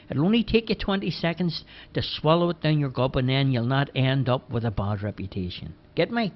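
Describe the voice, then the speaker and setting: A man voicing a blunt, sensible grown man who lectures in a matter-of-fact way. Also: mid-range voice. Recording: dull lo-fi recording.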